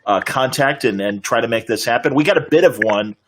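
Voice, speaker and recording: Male panel moderator, mid-pitched, hesitant and rambling, on an online call with faint noise. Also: fairly quick. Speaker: interview host and moderator. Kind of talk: fan panel interview over video call. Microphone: video call.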